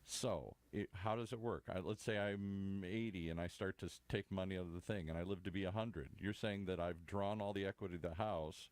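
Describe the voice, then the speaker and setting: A man in his sixties with a very deep voice, recorded dry and close. He hosts a casual interview and asks blunt, plain-spoken questions. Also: fairly even intonation, a little fast, hardly any pauses, no room sound. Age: 60s. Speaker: show host.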